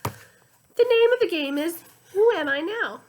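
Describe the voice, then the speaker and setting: A woman's voice: extremely high pitch, lively intonation, unhurried, pitched above the performer's own voice. Woman putting on a sneering villain teacher. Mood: gloating. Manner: mocking, cruel.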